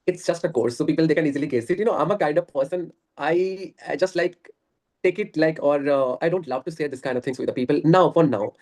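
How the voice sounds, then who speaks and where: A man's voice: on the high side, quick pace, a little mellow. A man talking earnestly and at length on a video call, laughing often. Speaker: guest. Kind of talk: casual conversation practice.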